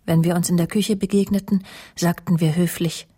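A woman's voice: fairly low pitch, steady tone, normal speed, dark tone, a little hiss or hum, lightly acted. An actress playing a possessive, jealous woman in a radio crime drama, pointed and confiding. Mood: bitter, confiding. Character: possessive, jealous, needling woman recounting a feud. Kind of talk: German radio crime drama, dialogue and confessional narration.

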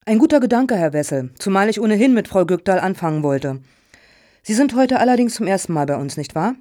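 A woman's voice: mid-range voice, lively intonation, fairly quick, dark tone, dry recording, clean studio sound, close to an everyday voice. An actress playing a courteous, patronising foundation chairwoman in a satirical radio play, speaking formally and politely. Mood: politely encouraging.

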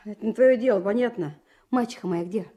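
A woman's voice: medium pitch, a little fast, dark tone, close to an everyday voice. A woman playing a scrappy, defiant runaway peasant boy in a radio drama, brusque and colloquial. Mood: defiant, sullen.

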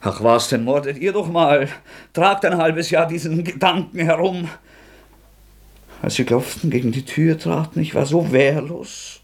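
An actor playing a tormented young murderer in a radio play, feverish and bitter, swinging between scorn and despair. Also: male voice, fairly high pitch, unhurried, slightly rough. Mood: anguished, feverish.

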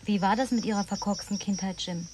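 A woman's voice: mid-range voice, fairly even intonation, brisk, background noise, close to an everyday voice. An actress playing a worldly, wry, indulgent city woman in a German radio play, with a teasing, cool, conversational manner. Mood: playful, relaxed.

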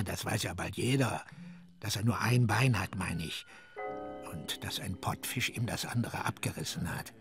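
An actor in a radio drama playing a cryptic, ominous sailor who needles with insistent questions and muttered asides. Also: male voice, fairly low pitch, vocal fry. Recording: music under the voice. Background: music.

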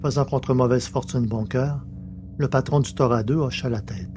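A man's voice: mid-range voice, fairly even intonation, moderate pace. A man reading scripted literary science fiction narration as the storyteller. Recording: clearly noisy background with hum.

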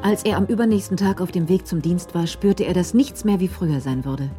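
A woman's voice: on the low side, brisk, dark tone. A woman reading scripted narration for a German radio crime drama. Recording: faint music under the voice.

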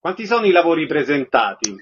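Husky male radio host, muffled sound. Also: fairly high pitch, big pitch swings, quick pace, a bit bright. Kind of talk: scripted radio interview questions and announcements. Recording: dull lo-fi radio recording.

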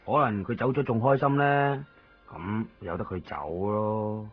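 A man's voice: fairly low pitch, a little slow, lightly acted. A man acting a coaxing, worldly caretaker in a radio drama. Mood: resigned, philosophical. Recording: dull 1990s radio recording. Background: faint music.

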